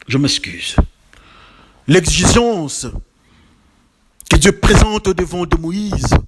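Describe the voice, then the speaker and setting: Man, preacher, high voice, unhurried, slightly congested, emphatic repetitions. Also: lively intonation, slight background noise. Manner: emphatic, building repetitions, rhetorical questions. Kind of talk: sermon.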